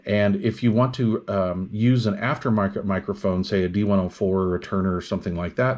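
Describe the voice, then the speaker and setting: A man's voice: on the low side, darkish tone. A man reviewing radio equipment in a casual, hands-on demonstration, explaining things step by step without hurry.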